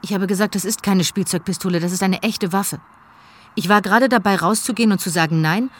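A woman's voice: medium pitch, brisk, close to an everyday voice. A woman voicing a teacher's eyewitness account as a German documentary voice-over. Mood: shaken recollection. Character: female teacher recounting a school shooting. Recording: faint background noise.

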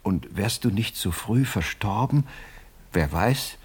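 An actor playing a pompous, miserly older widower in a radio play, self-justifying and fussily indignant, with a husky voice. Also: male voice, lowish voice, lively intonation, slow pace, exaggerated voice.

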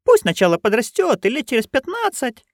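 A storyteller voicing a chatty, coarse, bawdy old witch woman who rambles loudly. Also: mid-range voice, huge pitch swings, a little fast, no echo, clean studio sound, pitched above the performer's own voice. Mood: gossipy.

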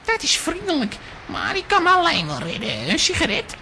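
A woman's voice: high voice, wildly swooping pitch, normal speed, bright, forceful tone, slight background noise, full character voice. A woman playing a cocky, money-minded boy with a brash, mocking manner in a children's audio drama. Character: cocky money-minded neighbour boy, street-smart and cheeky.

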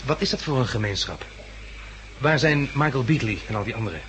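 An actor in a 1960s radio drama playing a cautious, inquisitive male survivor, wry and conversational, with a slightly gravelly voice. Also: mid-range voice, lively intonation, brisk, fairly bright tone, natural voice. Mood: inquiring. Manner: conversational, questioning. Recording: noisy old 1960s radio recording.

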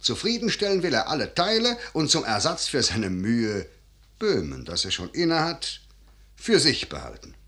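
A man's voice: mid-range voice, wildly swooping pitch, normal speed, bright, forceful tone. An actor playing a grave, calculating older father and general in a classical radio verse drama, with solemn, emphatic declamation. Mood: urgent, insistent.

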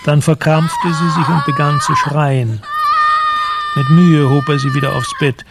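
Casting: male radio drama narrator, fairly high and gravelly, sombre, measured, with background noise. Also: steady tone, shouting.

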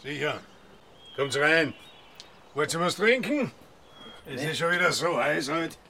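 Gravelly Austrian country man. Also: a little high, expressive, a little slow, bright, forceful tone, a little hiss or hum, exaggerated voice. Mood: offhand, hospitable. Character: Egon, gruff rural bandmaster, dryly offhand. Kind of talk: radio drama acting.

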